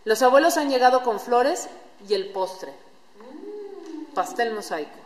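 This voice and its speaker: A woman narrates a radio play as a scripted story. Her voice sits fairly high. The sound is that of a big reverberant room with light background noise. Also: animated, a little slow. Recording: echoey hall with room noise.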